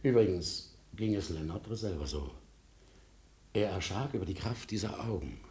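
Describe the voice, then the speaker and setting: An actor playing a haunted, courteous middle-aged lawyer with asthma, telling his story formally and deliberately in 1950s German radio drama style. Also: low voice, lively intonation, warm tone. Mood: brooding.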